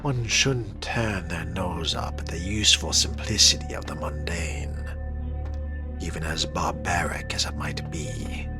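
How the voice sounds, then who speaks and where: A man's voice: low-pitched, a little slow, in a whisper, over music. A man playing a sardonic, refined vampire fledgling, musing aloud in arch, wordy, theatrical phrases for a video game role-play. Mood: arch, amused.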